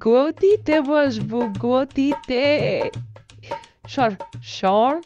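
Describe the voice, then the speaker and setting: A woman's voice: fairly high pitch, expressive, moderate pace, lo-fi sound, lightly acted. A woman voicing a power-hungry, scheming old woman in a comic cartoon, theatrical and cackling, with a Bangladeshi accent. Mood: threatening, imperious. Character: scheming, power-hungry old woman, ousted ruler turned ghoulish hunter. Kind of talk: exaggerated comic cartoon voice acting. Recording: loud music under the voice.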